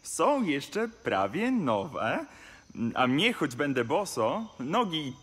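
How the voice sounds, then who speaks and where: An actor playing a poor, good-natured young orphan in a musical fairy-tale audio play, speaking in theatrical verse. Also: male voice, a little high, very animated, slow, a bit bright, slightly rough, heavily acted. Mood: kindly. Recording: music behind the voice.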